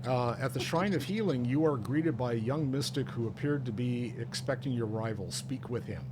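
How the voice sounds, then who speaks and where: A man's voice: mid-range voice, brisk. A middle-aged man chatting casually as he co-hosts a live game stream. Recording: loud steady background noise.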